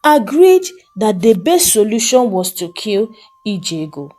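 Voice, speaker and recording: A woman narrating a folktale as a storyteller, with a measured, expressive and dramatic delivery. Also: mid-range voice.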